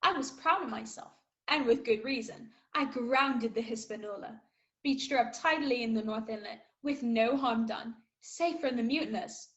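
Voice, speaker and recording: Woman playing boy adventurer, radio play narrator, higher pitch, measured, literary, plucky. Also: dull audio, lightly acted. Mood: proud, earnest. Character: plucky boy adventurer telling his own tale.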